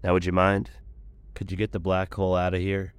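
An actor playing a stern, measured spaceship commander in an audio drama, with a dry, clipped, authoritative manner. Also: male voice, low voice, monotone, clear, resonant tone, dry recording. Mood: formal, approving.

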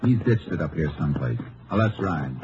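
Deep-voiced, terse western lawman. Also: lively intonation, brisk. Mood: businesslike. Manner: clipped, terse, matter-of-fact. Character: laconic Texas lawman investigator on horseback, stern and steady. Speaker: lead actor and narrator. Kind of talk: old-time radio western crime drama acting. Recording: muffled, noisy vintage radio recording.

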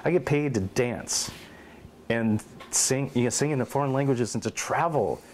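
A middle-aged man in an oral history interview at his home, telling lively stories and acting out quotes. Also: medium pitch, moderate pace, slight background noise. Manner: animated storyteller, acts out quotes and meows.

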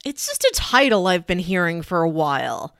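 A woman's voice: lowish voice, sing-song, moderate pace, a bit bright, clean tone, no room sound, studio quality. A woman co-hosting a podcast, chatting casually and telling stories in a conversational way. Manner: chatty, quotes dialogue with frequent "like". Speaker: podcast co-host.

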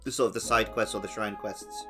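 Podcast co-host, male, low register, casual analytical explainer, light music bed. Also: fairly even intonation, fairly quick, pushed and bright. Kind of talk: casual video game discussion.

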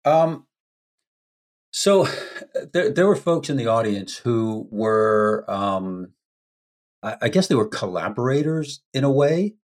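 An American man, a podcast guest, telling stories in an unhurried, anecdotal way with frequent ums. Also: mid-range voice, animated, darkish tone. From United States. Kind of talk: storytelling in a podcast interview.

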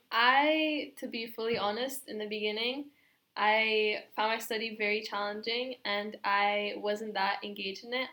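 A young woman from Romania, a university student guest, speaking in a measured, reflective way. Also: medium pitch, pushed and bright. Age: young adult. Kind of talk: casual chat.

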